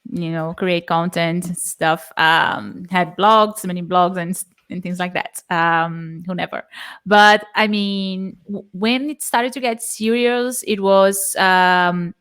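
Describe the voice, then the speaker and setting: A woman's voice: on the low side, steady tone, slow, fairly bright tone, clean tone. Brazilian woman, hesitant, laughing often. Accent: Brazilian Portuguese. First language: Portuguese. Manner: hesitant, many fillers, frequent laughs. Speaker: guest. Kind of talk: casual interview chat.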